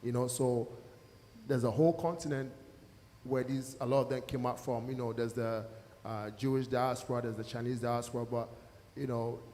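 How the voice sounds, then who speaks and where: A man's voice: mid-range voice, steady tone, normal speed, mellow. A man from Ghana speaking as a panelist, telling stories earnestly. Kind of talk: panel discussion. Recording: long hall reverb, faint noise.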